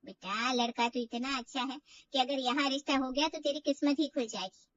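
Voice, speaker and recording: A woman puts on a proud middle-aged mother for an audio story. She coaxes and reassures in a high voice, recorded dry and slightly distant. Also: a little fast, no room sound, highs cut off. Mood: coaxing. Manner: persuasive, reassuring.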